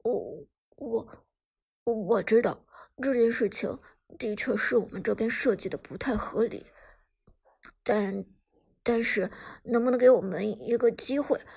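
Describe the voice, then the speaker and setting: A woman voicing a shy young male staffer in an audiobook, apologetic, flustered and stammering haltingly. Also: fairly high pitch, gravelly, breathy edge, exaggerated voice.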